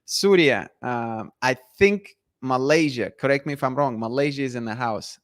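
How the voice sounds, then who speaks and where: A man's voice: medium pitch, normal speed. A man hosting a casual live chat, reading viewer comments and talking with many restarts and fillers. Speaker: livestream host.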